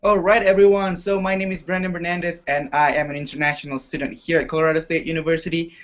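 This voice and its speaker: A man's voice: fairly high pitch, flat delivery, fast talker, muffled recording. A young man from Indonesia whose first language is not English, introducing himself as a student panelist in a friendly, self-deprecating way with many fillers. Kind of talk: casual introduction on a live web chat.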